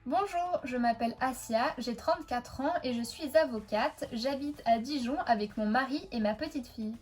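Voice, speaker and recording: A woman's voice: a little high, normal speed, husky, soft background music. A woman voicing a thirty-four-year-old female lawyer in a toy-figure parody, matter-of-fact.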